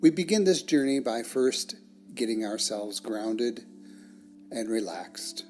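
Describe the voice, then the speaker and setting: A person leading a guided meditation in a measured, soothing manner, with long pauses between phrases. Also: medium pitch, animated. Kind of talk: guided meditation, scripted narration. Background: soft plucked guitar music.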